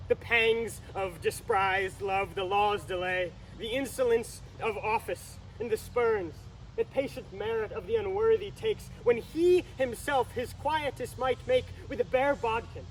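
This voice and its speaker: Brooding Shakespearean male lead, acted by a young man, high voice, dramatic delivery, faint outdoor noise. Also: poor audio. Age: teenager. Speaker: student actor. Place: outdoor library garden.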